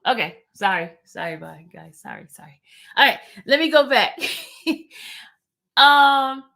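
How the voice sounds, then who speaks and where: A woman's voice: sits high, measured pace, gravelly. Chatty New woman livestreaming. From New York. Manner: animated, chatty, digressive, talks straight to chat. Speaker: YouTube livestream host. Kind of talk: casual livestream chat reading viewer comments.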